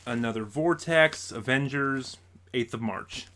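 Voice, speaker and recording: A man's voice: medium pitch, measured pace, background noise. Rambling man chatting through an unboxing. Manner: rambling, self-correcting explainer. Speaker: vlogger reviewing audio drama purchases. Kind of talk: casual unboxing chat.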